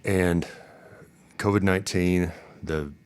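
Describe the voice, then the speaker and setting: A man's voice: low-pitched, fairly even intonation, slow pace, clean tone. A man hosting an interview podcast, introducing and questioning a guest. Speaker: podcast host.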